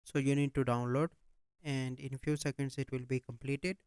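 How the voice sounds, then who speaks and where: A man hosting a step-by-step software tutorial, speaking in a plain, instructional manner with repetitive phrasing. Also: mid-range voice, little pitch movement, normal speed, clean tone, no room sound. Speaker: tutorial host.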